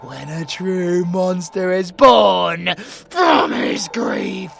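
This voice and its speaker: A British man playing a sadistic, gloating monster villain in an audio roleplay, gravelly and theatrical, swinging from hushed taunts to shouts. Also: high voice, expressive, drawn-out words, heavily acted. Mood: ruthless, grandiose. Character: sadistic male monster villain, gloating, menacing, hungry for prey.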